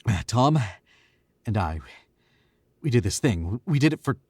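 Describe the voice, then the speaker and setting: A man voices a nervous young murderer in a radio play, stammering as he begs and rationalizes. His husky voice swings widely in pitch, recorded dry and close. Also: sing-song, unhurried, darkish tone, no echo, full character voice. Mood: pleading. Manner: stammering, pleading, self-justifying. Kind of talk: 1940s radio acting.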